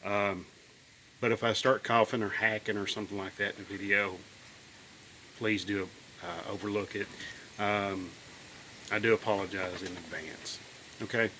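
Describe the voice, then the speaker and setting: A man's voice: on the low side, steady tone, moderate pace, pauses often, pushed and bright, a little hiss or hum, rough recording. A man in his fifties with bronchitis, sounding a bit nasal, rambling casually about his old car while filming himself on a phone in his cluttered garage. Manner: rambling, folksy, lots of ums and restarts. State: sick with bronchitis, slightly nasal. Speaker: car owner vlogger. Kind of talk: casual walkthrough vlog. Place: cluttered home garage indoors. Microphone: phone held at arm's length.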